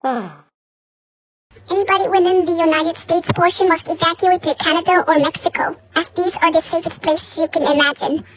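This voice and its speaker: The voice is extremely high in pitch. It reads scripted breaking news in the role of a newsreader for a fictional emergency alert broadcast. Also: fairly even intonation, moderate pace, fairly bright tone, slight background noise.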